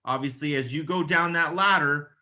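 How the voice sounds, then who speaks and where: Bright, forceful higher-pitched man coaching. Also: moderate pace. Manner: emphatic, casual, mildly profane, checks in with "okay?". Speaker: real estate agent giving advice. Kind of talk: conversational coaching with acted-out example phone calls.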